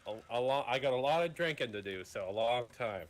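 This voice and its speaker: A man playing a hard-drinking, scheming frontier doctor in an improvised western roleplay, talking haltingly and repeating himself. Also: medium pitch, lively intonation, close to an everyday voice. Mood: sly, matter-of-fact. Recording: noisy online game voice chat.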